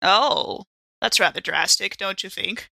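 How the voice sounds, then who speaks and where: A guarded female ex-convict with a defiant streak played by a woman in a tabletop game. Her voice is forceful and mid-pitched, her delivery dry and measured, recorded close with no room sound. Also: lively intonation, creaky, no echo, exaggerated voice. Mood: wary, dry. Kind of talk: improvised tabletop roleplay.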